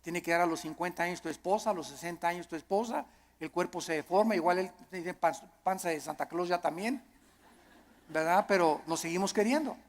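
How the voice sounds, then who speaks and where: A man's voice: high voice, brisk. A man with a Mexican accent delivering a sermon as a preacher, emphatic, with repeated phrases and rhetorical questions. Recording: long hall reverb.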